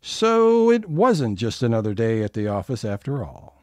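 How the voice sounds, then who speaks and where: A man's voice: mid-range voice, very animated, moderate pace, a little mellow, no room sound, studio quality, natural voice. A man playing a wry narrator who sets the scenes in a scripted audio comedy. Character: wry storybook-style narrator setting each comic scene.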